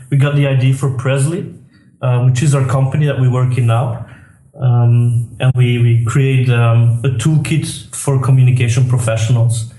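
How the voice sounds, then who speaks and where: A Belgian man in his thirties answers questions in a warm, mellow voice, hesitating often on "um". The room around him rings with noticeable echo. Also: mid-range voice. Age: 30s. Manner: hesitant, many "um"s, laughs lightly. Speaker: guest, tech co-founder. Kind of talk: interview answers. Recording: echoey room.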